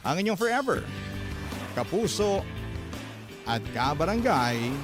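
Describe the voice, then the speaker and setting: A man's voice: fairly high pitch, very animated, slow. A man with a Filipino accent reading a letter as dramatic scripted narration, as host of a radio drama. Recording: loud music under the voice. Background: loud music bed.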